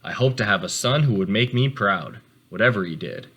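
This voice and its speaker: Man as father turtle, gentle, kindly, encouraging, expressive pitch, bright tone, storybook reading. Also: brisk, muffled recording. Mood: fond. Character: gentle, fond father turtle, adult male.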